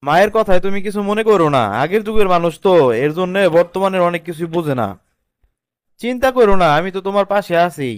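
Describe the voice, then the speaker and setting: A man's voice: high voice, fast, smooth, dry recording, clean studio sound, natural voice. A man playing an earnest, protective young husband in a cartoon, reasoning and lecturing in a colloquial Bangladeshi accent. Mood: caring, reassuring. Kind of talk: cartoon drama dialogue.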